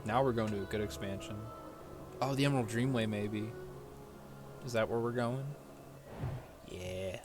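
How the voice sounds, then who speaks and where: Man, lowish voice, casual gaming commentary, rambling, sweary, game music clearly behind. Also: normal speed, pauses often. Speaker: gaming video creator.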